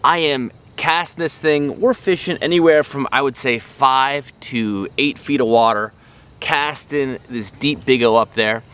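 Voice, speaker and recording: A man's voice: medium pitch, unhurried, fairly bright tone, clean tone. A middle-aged man hosting a fishing vlog in casual, enthusiastic, folksy talk, recorded out on an open boat. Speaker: fishing show host.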